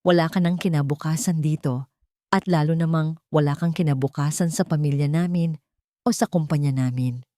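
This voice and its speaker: Female reader as wealthy matriarch for audio drama: soft venom, condescending, low-pitched, dry close sound. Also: fairly even intonation, moderate pace, no room sound, close to an everyday voice. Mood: dismissive contempt. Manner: gentle but poisonous, condescending. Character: haughty rich matriarch, venomously soft-spoken and sarcastic.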